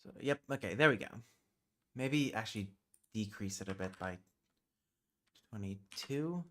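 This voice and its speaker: Casual streamer, a man with a lowish voice, mutters through tasks and swears when stumped. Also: lively intonation, unhurried, pushed and bright. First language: British Sign Language. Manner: thinking aloud, muttering while working; easily exasperated, swears under breath. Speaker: livestream host. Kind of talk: casual livestream chat.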